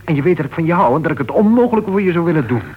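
An actor playing an earnest, lovelorn club board member in a Dutch radio play, asking insistent, probing questions. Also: male voice, fairly high pitch, animated, racing, husky. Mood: pleading. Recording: dull, muffled old radio recording.

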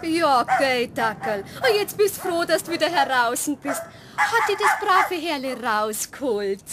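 A woman's voice: sits high, big pitch swings, a little slow. An actress playing a curious, fussing woman bystander who coos over dogs, with a Bavarian accent, in a children's radio play. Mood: fussing, cooing. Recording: very noisy track with clicks.

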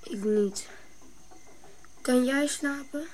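Woman voicing grieving African boy, high, childlike, short replies, radio drama, faint insect noise. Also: drawn-out words, a little mellow.

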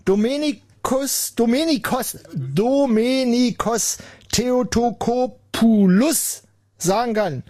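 Sarcastic radio host, husky. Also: male voice, extremely high pitch, takes it slowly, dry recording. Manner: sarcastic, rambling, jokey, bursts into mock shouting. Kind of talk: irreverent late-night radio call-in. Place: radio studio. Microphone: studio microphone.

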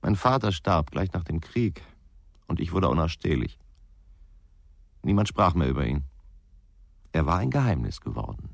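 A brooding middle-aged ex-policeman in a radio crime play. The voice is deep and creaky, recorded very dry. He is tipsy and ruminates haltingly. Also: sing-song, dry recording, full character voice. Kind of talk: German radio crime drama acting.

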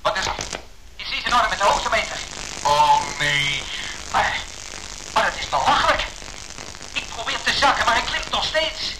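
A man's voice: high-pitched, very animated, moderate pace, pauses often, background noise. A man playing a tense bomber pilot, clipped and urgent, in echoing cockpit dialogue from a radio play. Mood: alarmed. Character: tense bomber pilots struggling with a failing aircraft.

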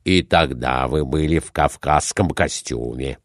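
A man voicing a blunt, suspicious merchant in a storybook reading, questioning in a measured, pointed and persistent way. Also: extremely low pitch, no room sound, studio quality, voice pushed down. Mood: persistent, needling.

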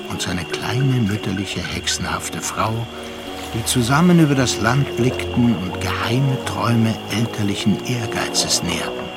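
A worldly, contemplative narrator of a literary radio drama. He has a medium-pitched voice and phrases deliberately in standard German. Music plays clearly behind him. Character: reflective, worldly gentleman narrator recalling his travels.

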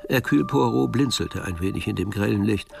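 Male radio play narrator, lowish voice with gravelly creak, literary delivery, music underneath. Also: a little fast.

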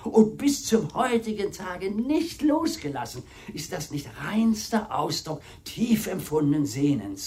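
A man's voice: high voice, big pitch swings, a little slow, few pauses, a little mellow, husky, dry recording, exaggerated voice. An actor playing an aggrieved, talkative manservant in a radio play, telling his tale with wry mock formality. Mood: eager, flattering. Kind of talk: theatrical radio play monologue.

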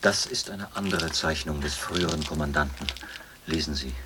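An actor playing a devoted officer and executioner in a penal colony, commanding and measured, fervently instructive, in a German radio drama. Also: male voice, very deep voice, noisy background. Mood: insistent.

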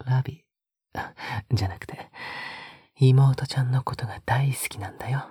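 A male actor voices a soft-spoken young man with a very breathy, husky voice. He coaxes tenderly, with a possessive edge, often slipping into a whisper. The audio drama sound is intimate, close and dry. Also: mid-range voice, moderate pace, no room sound. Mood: pleading, affectionate.

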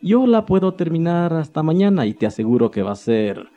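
An actor playing a sly, smooth-talking devil who tempts a man in a radio drama, wheedling and theatrical with sinister laughter. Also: a little high, very animated, brisk, highs cut off. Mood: gleeful.

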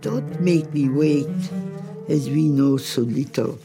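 A woman recites poetry in a low voice. She takes it very slowly, line by line, as music plays clearly underneath. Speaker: author reading own poetry. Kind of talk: slow poetry recital in radio drama. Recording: radio production with music underneath.

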